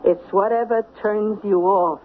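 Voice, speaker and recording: A woman's voice: medium pitch, fairly even intonation, a little slow, lightly acted. Woman playing a blunt, streetwise American dame, tinny radio. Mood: ominous. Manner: emphatic, slangy, punchy commands. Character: smart, blunt, bossy streetwise woman, hustler's confidante. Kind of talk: American old-time radio drama acting. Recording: tinny, noisy 1970s radio broadcast.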